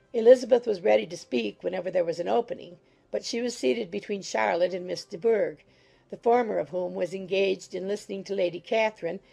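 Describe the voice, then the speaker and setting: Woman reading a classic novel, gravelly creak, mellow and soft-edged, audiobook narrator. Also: mid-range voice. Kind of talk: scripted literary narration.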